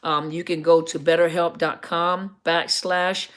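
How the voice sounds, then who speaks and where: A middle-aged woman with a deep, scruffy, gravelly voice, a counselor chatting casually to viewers on a live stream and often correcting herself with ums. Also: little pitch movement, measured pace. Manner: rambling, self-correcting, many ums.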